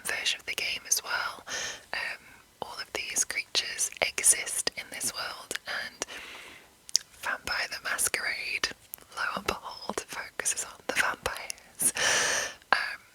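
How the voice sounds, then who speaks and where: A woman hosting ASMR, speaking entirely in a soft, breathy whisper as she gives an informal, rambling, hesitant explanation. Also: poor audio. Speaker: ASMR host.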